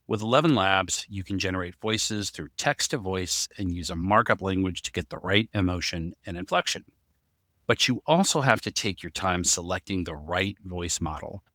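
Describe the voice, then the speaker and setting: A man's voice: low-pitched, sharp tone, no room sound, clean studio sound. A man explaining things conversationally as a podcast co-host.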